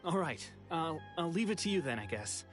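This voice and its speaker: A man's voice: fairly high pitch, big pitch swings, moderate pace, fairly bright tone. A man playing a weary, resigned would-be exile in a video game, speaking in a subdued way. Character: weary, resigned male exile seeking escape. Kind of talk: video game character acting. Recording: faint music behind the voice.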